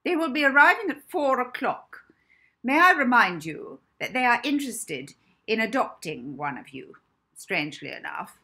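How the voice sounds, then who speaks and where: A woman's voice: on the high side, very animated, normal speed, bright, forceful tone, voice pushed up, exaggerated voice. A woman voicing a stern, contemptuous orphanage mistress in a children's storybook, haughty, cutting and emphatic. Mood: scornful, commanding.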